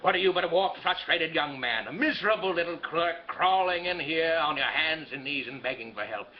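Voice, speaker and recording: A man's voice: on the high side, animated, dull audio, natural voice. A man doing a sneering cruel old banker. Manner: slow, contemptuous, mocking.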